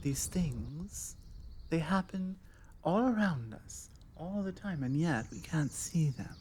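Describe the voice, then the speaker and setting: A woman with a British accent playing a forward, bookish, flirtatious vampire in an intimate ASMR roleplay, musing in a soft, wordy, playful way with hesitant pauses. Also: low voice, lively intonation, a little hiss or hum. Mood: fascinated, musing. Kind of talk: ASMR audio roleplay, one-sided intimate conversation.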